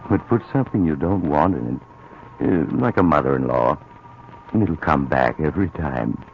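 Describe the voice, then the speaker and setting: A sardonic storyteller host, with a very deep voice and a dry, ironic delivery. There is a faint hiss on a dull old radio recording. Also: male voice. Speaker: narrator. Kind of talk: 1940s radio narration. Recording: muffled old radio broadcast.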